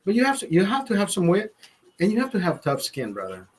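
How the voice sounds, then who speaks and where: High-voiced, rambling, profane man. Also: huge pitch swings, quick pace. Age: 40s. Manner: rambling, profane, repeats and restarts phrases; loud emphatic motivational rants. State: drinking beer, a bit tipsy. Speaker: livestreamer. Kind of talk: casual livestream chat.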